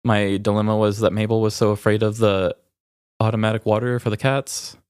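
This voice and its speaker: Male podcast host, low register, raw and reflective, halting delivery through grief, dry recording. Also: flat delivery, a little mellow, clear, resonant tone, dry recording. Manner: halting, reflective, emotional, with pauses. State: grieving. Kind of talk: raw, candid personal conversation.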